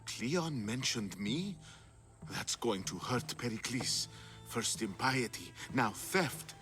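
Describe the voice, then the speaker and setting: Anxious artist, bright, jumpy voice. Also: male voice, medium pitch, lively intonation, slow, faint music behind. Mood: earnest. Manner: agitated, earnest. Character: anxious, fearful male artist on the run. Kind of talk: video game cutscene acting.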